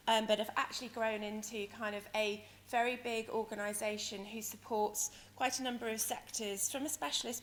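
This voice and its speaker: A woman presents at a conference in a croaky, hoarse voice of medium pitch. She explains in a hesitant, roundabout way, like a company director addressing delegates. There is audible ambient noise from the venue behind her. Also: fairly bright tone. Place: conference venue in London, speaking to an audience. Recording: noisy conference hall ambience.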